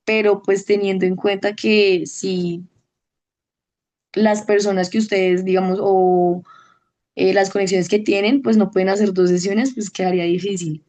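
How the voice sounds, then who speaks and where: A woman's voice: mid-range voice, steady tone, unhurried, darkish tone. A woman, a teacher giving a testimonial in an interview. She speaks in a thoughtful, hesitant way, with restarts. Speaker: au pair program participant, teacher.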